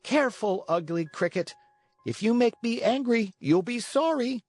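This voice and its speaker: A narrator voicing a high-pitched, cheeky wooden puppet boy. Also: expressive, moderate pace, dry recording, soft background music, natural voice. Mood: angry, threatening. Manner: cheeky, petulant. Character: Pinocchio, defiant impudent boy wooden marionette.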